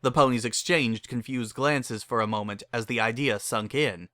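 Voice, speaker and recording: Bright, close narrator reading a story. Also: mid-range voice, normal speed, no room sound. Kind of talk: dramatic reading of fan fiction.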